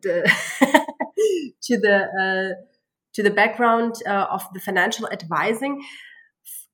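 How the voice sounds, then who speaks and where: A woman's voice: medium pitch, expressive, slow pace. Woman in her thirties, hesitant and laughing. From Spain. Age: 30s. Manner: hesitant, many fillers, laughs often. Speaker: financial planner, guest. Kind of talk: interview guest telling her story.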